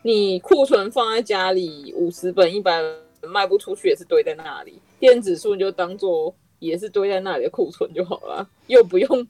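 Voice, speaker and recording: A woman's voice: mid-range voice, expressive, moderate pace, hardly any pauses, darkish tone, dry recording, faint music behind, low-quality recording. A woman with a Taiwanese Mandarin accent chatting casually as a podcast host.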